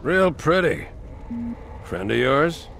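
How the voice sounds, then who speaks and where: An actor playing a stern, dry older military captain in a sci-fi game, clipped and commanding. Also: male voice, on the high side, very animated, slow, vocal fry, a bit bright, slight background noise, heavily acted. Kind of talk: sci-fi video game acting.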